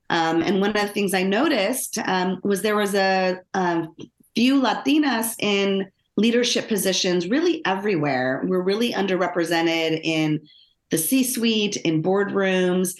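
A middle-aged woman with an American accent, telling her own story conversationally as an interview guest. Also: medium pitch. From Los Angeles, United States. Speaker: podcast guest, lawyer and author. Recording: roomy, echoing sound.

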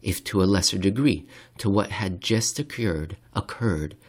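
A man reads a scripted financial essay aloud in a measured, theatrical way, with rhetorical pauses. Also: deep voice. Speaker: reader of a financial commentary podcast. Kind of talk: dramatic scripted essay reading.

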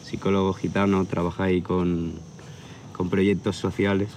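A tattoo artist from near Barcelona, about thirty, talks in a very deep, flat voice. He rambles easily through his stories with small hesitations. He is recorded outdoors at a viewpoint, with a faint hiss of crickets around him. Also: normal speed. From from near Barcelona, Spain. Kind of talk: casual interview chat. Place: outdoor hilltop viewpoint at sunset. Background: crickets and outdoor ambience.

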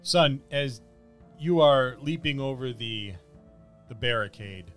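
A man working as a game master, improvising halting, descriptive narration of a tabletop fantasy battle. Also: medium pitch, lively intonation, a bit bright, faint music behind.

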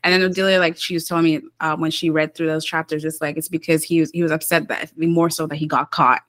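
Deep-voiced, thoughtful woman co-host. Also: little pitch movement, fast talker, fairly bright tone, no echo. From Uganda, grew up partly in Kenya. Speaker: podcast co-host. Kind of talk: casual interview chat.

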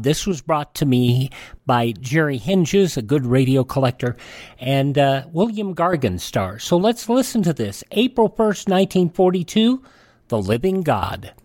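A man's voice: on the high side, a little mellow, no echo, clean studio sound. A man presenting vintage radio programs as the host of the show.

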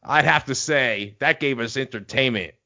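A man's voice: mid-range voice, moderate pace, vocal fry, bright, forceful tone, muffled recording. A man co-hosting a casual podcast, talking in a laid-back, rambling way with lots of fillers. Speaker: podcast co-host. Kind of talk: casual chat.

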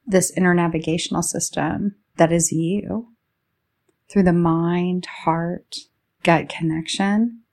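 A woman leading a guided meditation, speaking soothingly in short, drawn-out phrases with a soft-edged, creaky voice. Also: lowish voice, steady tone.

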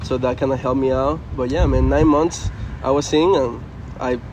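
A man's voice: mid-range voice, steady tone, moderate pace, a little mellow, a little hiss or hum, low-quality recording. A young man from Venezuela, a native Spanish speaker with a Venezuelan Spanish accent, talking casually and earnestly in an interview as a college baseball player. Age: young adult. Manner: earnest, loose, frequent "man" and "you know".

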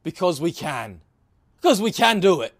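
A man acting as a smug Australian nightclub bartender with a high-pitched voice. He is shameless and matter-of-fact, brazenly brushing off complaints in comedy sketch dialogue. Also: very animated, normal speed, heavily acted. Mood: smug, unapologetic.

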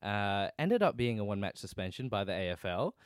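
A man's voice: fairly low pitch, sing-song, a little fast, a little hiss or hum. A man with an Australian accent, co-hosting a podcast and setting up topics hesitantly with lots of ums. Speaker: podcast host. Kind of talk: casual chat.

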